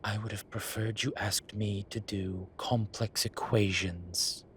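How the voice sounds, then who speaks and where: A man playing an ancient, bookish incubus demon professor in an audio roleplay, dry and exasperated, with halting, sighing delivery. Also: on the low side, steady tone, a little hiss or hum. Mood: apologetic, weary. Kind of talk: audio roleplay acting, intimate one-sided dialogue.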